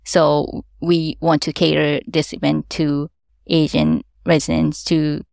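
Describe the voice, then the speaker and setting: A woman with a low, heavily gravelly voice speaks careful non-native English; her first language is Japanese. She explains things earnestly and laughs lightly along the way. The sound is dry and close, with no room around it. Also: level tone, takes it slowly, a little mellow, no room sound. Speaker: event organizer. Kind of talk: interview answers.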